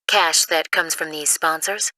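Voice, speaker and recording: An actress playing an earnest, businesslike intelligence analyst in a video game, crisp and matter-of-fact. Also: female voice, sits low, unhurried, sharp tone, no room sound. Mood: concerned, businesslike. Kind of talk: video game cutscene acting.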